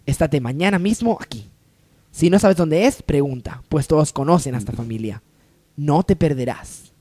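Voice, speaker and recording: A man's voice: fairly high pitch, expressive, moderate pace, a little mellow. A man playing a jealous, bossy rich woman in a parody radio soap, melodramatic and haughty. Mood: brisk, scheming.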